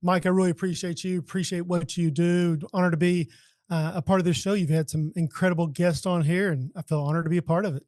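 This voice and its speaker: High-pitched American man, polite podcast guest. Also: fairly even intonation, quick pace, darkish tone, no room sound. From Texas, United States. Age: 40s. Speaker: podcast guest, leadership coach. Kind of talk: casual interview chat.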